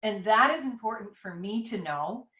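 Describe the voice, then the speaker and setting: A woman speaks over a video call in a middle pitch, the sound bouncing around a roomy space. She thinks out loud as she talks, circling back and questioning herself. Her reflective ramble feels unscripted. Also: expressive, normal speed. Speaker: author. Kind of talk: casual conversation over a call. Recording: roomy echo.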